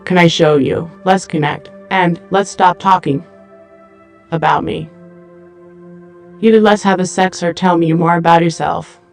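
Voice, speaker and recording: A woman playing a seductive, matter-of-fact cyborg dancer in an English-language audio drama, with flat, deliberate, formal phrasing. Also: on the low side, creaky, soft background music. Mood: seductive, direct.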